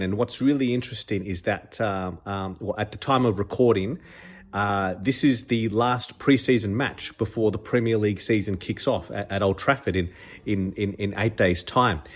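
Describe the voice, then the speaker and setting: A man talks through his solo podcast, his voice a little congested from a cold. He sounds keen and chatty, tripping over restarts and repeating words as he goes. His voice sits on the lower side. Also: normal speed. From Australia. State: stuffed up with a cold. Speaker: podcast host. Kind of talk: casual solo podcast commentary.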